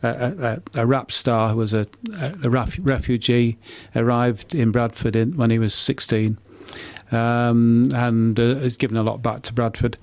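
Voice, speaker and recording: A middle-aged man answering in a radio interview, halting and often repeating words as he explains. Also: mid-range voice, little pitch movement, darkish tone, dry recording. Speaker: author and poet being interviewed.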